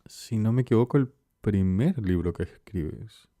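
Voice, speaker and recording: Dark-voiced Ecuadorian man hosting. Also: lowish voice, expressive, a little slow, dry recording. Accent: Ecuadorian Spanish. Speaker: interviewer, host. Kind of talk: casual conversational interview.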